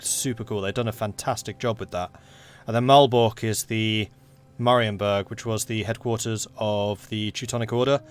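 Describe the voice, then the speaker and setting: A young man with an English accent, casually chatting with viewers and reading game events aloud as he plays. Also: on the low side. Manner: relaxed, rambling, reads game events aloud. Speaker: streamer.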